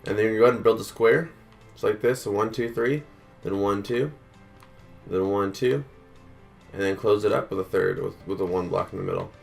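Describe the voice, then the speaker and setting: Man, low gravelly voice, slightly sick, laid-back tutorial host. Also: fairly quick, long pauses, faint music behind. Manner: relaxed, counts blocks aloud, many fillers. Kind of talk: casual step-by-step tutorial.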